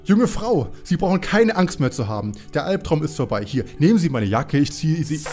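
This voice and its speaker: A man acts out a nervous young detective sidekick in a parody radio play. The voice is slightly high and swings widely, excitable and protesting. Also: normal speed, faint music behind. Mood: eager. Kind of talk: parody detective audio drama acting.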